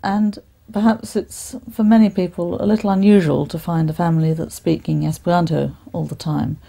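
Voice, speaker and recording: A woman's voice: on the low side, fairly even intonation, normal speed, creaky, mellow. A middle-aged woman chatting about family life in an interview in her living room. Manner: chatty, self-correcting. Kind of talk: casual interview.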